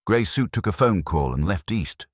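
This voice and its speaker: A man's voice: low-pitched, expressive, moderate pace, no room sound, clean studio sound. A man voicing a laconic, observant detective's assistant in an audiobook mystery. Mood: terse. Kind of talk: audiobook character dialogue.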